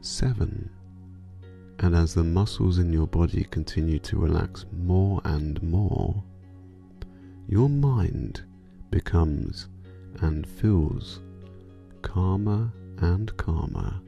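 A man guiding a sleep meditation in a soothing, hypnotic manner, drawing out his phrases and letting endings fall soft and breathy. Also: deep voice, slow, dull audio, faint music behind. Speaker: meditation guide.

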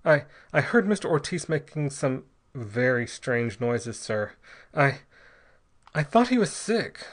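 A man's voice: on the low side, expressive, moderate pace, few pauses, bright, forceful tone, breathy edge, exaggerated voice. A man voicing a nervous, deferential ship's steward in an adventure story, stammering and apologetic. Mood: anxious.

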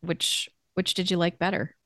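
A woman co-hosting a podcast, asking questions quickly and restarting her sentences mid-way. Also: fairly low pitch, little pitch movement, a bit bright, dry recording, studio quality. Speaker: co-host. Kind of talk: casual podcast interview.

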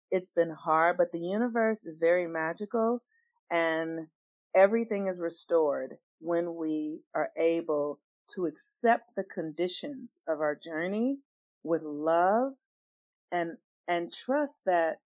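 An earnest woman telling her personal story as a guest in an interview, stressing her points with swings in loudness. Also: on the low side, no room sound. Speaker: astrologer guest.

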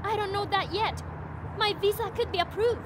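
A woman's voice: very high voice, moderate pace, background noise. A woman playing a stubborn, dramatic young Japanese immigrant in an audio drama, speaking emphatically. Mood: pleading.

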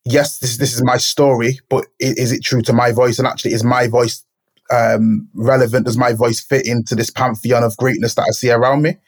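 A man's voice: medium pitch, flat delivery, fairly quick, breathy edge. A man, talking reflectively and searching thoughtfully for his words. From Manchester, England. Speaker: poet, guest. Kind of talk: reflective conversation.

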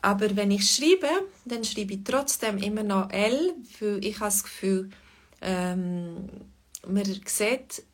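A woman with a Swiss German accent, a Bernese and Lucerne mix, talking through a relaxed lesson with thoughtful pauses and fillers. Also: medium pitch. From Switzerland.

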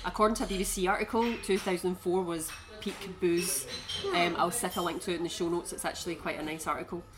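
A woman co-hosting a podcast, talking through researched statistics in a measured, informative way. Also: lowish voice, level tone, rough recording. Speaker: podcast co-host. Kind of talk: casual chat with researched facts.

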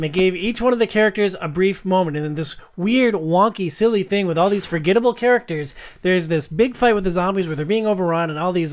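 Podcast host, male, high-voiced, casual and slangy, opinionated film fan.

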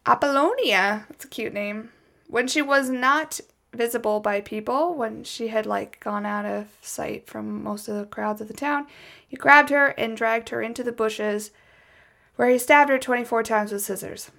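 A woman with a slightly high voice and a noticeable vocal fry. She tells true crime casually from notes as a podcast host. Her delivery is chatty, with wry asides dropped in. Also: normal speed, a bit bright.

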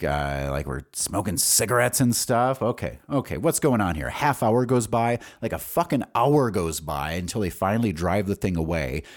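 A man's voice: medium pitch, a little mellow. An American man hosting a podcast, telling stories from his own life in a casual, candid, rambling way with some swearing. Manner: rambling, candid, profane, thinking aloud. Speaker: podcast host. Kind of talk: casual autobiographical storytelling.

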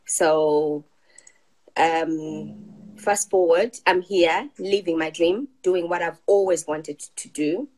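Female interview guest from Zimbabwe, 40s, low voice, easygoing. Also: takes it slowly, darkish tone, low-quality recording. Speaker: guest, company CEO. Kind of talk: conversational interview answers.

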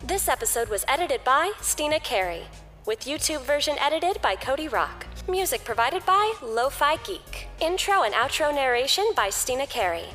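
A woman's voice: high voice, big pitch swings, normal speed, fairly bright tone. A woman reading scripted credits as the outro narrator of a podcast. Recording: long reverb over music.

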